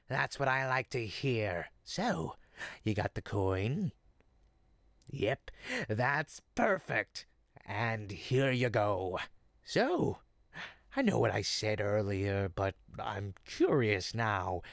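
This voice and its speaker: A performer voicing a sly, smooth-talking goblin potion peddler in an audio roleplay, with folksy, teasing salesman patter. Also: mid-range voice, no echo, studio quality, exaggerated voice. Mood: confiding, curious. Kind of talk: audio roleplay, in-character monologue to listener.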